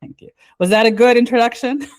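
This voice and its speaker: A woman from Israel hosting a podcast interview, friendly and quick to laugh, asking long questions. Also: on the high side, no room sound. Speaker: podcast host.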